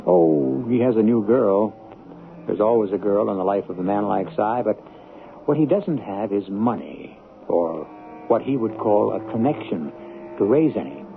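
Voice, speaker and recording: American male narrator, deep, creaky voice, dramatic storytelling, prominent music, thin old radio sound. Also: lively intonation, normal speed. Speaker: host and narrator of a radio mystery series. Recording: tinny 1970s radio recording.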